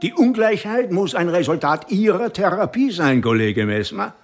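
A man's voice: high voice, big pitch swings, moderate pace, faint music behind. A man acting a stiff, self-important physician in a German radio drama, formal and pointedly critical. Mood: accusing.

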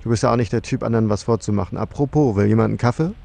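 Chatty blue-collar giant played by a man: quick, gravelly, casual, for a fantasy parody. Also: lowish voice, warm tone. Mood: weary, casual. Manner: casual, matter-of-fact. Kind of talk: German fantasy parody audio drama.

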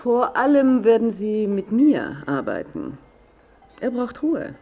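An actress playing a controlling, businesslike middle-aged daughter guarding her father in a radio drama, brisk and formal. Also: a little high, huge pitch swings, creaky, phone-quality sound, full character voice. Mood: firm, protective.

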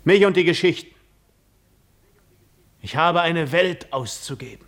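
An actor playing a defiant, brooding, weary adventurer in a 1950s German radio play, terse and declamatory. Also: male voice, fairly high pitch, measured pace, lightly acted. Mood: defiant, resolved.

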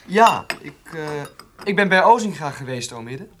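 An actor playing a polite, eager young farm lad in a radio drama, tentative and deferential. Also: male voice, fairly high pitch, big pitch swings, moderate pace, a bit bright, a little hiss or hum. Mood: tentative, earnest. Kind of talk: naturalistic Dutch radio drama acting.

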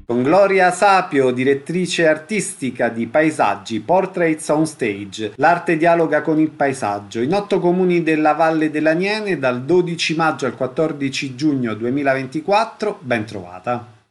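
Radio interviewer, male, slightly high-pitched, presenting guests and putting brief questions. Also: expressive, unhurried, soft background music.

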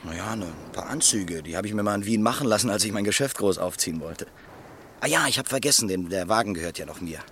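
An actor playing a relieved man freed from a false identity, talking in a rambling, halting way. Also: lowish voice, lively intonation, a bit bright, slight background noise.

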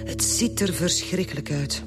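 A woman playing a brisk, matter-of-fact forensic doctor in a radio drama, speaking with a Flemish accent. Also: fairly low pitch, over music, close to an everyday voice. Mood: grim, collegial.

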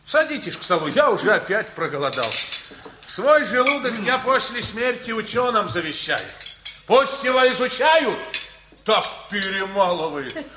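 A man's voice: high-pitched, sing-song, normal speed, background noise. A man acting a blunt, blustering uncle with a hearty appetite in a radio play. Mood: boisterous. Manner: loud, blunt, bullying.

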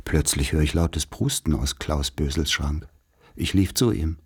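A man playing a wry narrator who recalls his boarding-school boyhood, in measured literary radio play narration. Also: a bass voice, slightly rough, exaggerated voice. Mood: wry, deliberate.

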